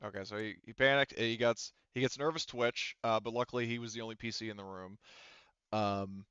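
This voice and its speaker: Male game master, smooth voice, informal and profane, close dry sound, slightly muffled. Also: lowish voice, fairly even intonation, brisk, fairly bright tone, no echo. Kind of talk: casual talk running a tabletop sci-fi game.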